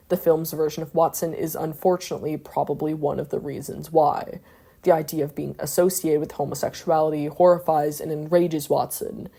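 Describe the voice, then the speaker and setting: A woman's voice: low voice, dark tone. A woman narrating a scripted video essay in a reflective, analytical way, with the odd casual aside.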